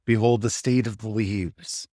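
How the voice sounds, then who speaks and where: A man leading a guided meditation, giving gentle instructions in short phrases with unhurried pauses. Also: lowish voice, fairly even intonation, dry recording, studio quality.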